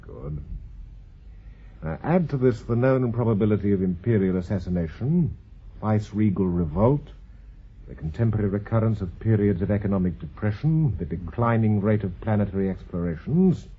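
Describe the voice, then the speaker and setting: An actor playing an authoritative senior professor with a British accent, measured and probing like a tutor, in a radio drama. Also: male voice, fairly low pitch, animated, slightly rough. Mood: probing, instructive. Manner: measured, commanding, questioning like a tutor. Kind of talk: BBC radio drama acting. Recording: slightly dull radio drama recording.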